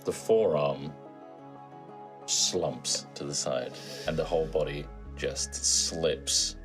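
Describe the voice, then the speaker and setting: Low-voiced man narrating as game master. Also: takes it slowly. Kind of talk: improvised horror game narration. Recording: clear music bed behind.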